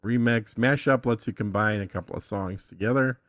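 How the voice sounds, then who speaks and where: A man's voice: on the low side, a little fast, no room sound. A man giving a casual tutorial as a podcast guest, informal and thinking aloud as he goes. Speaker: podcast guest demonstrating an app.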